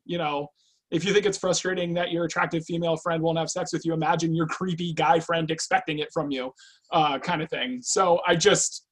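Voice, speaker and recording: A man, a playwright, discussing his writing casually in a halting, explanatory way. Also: fairly high pitch.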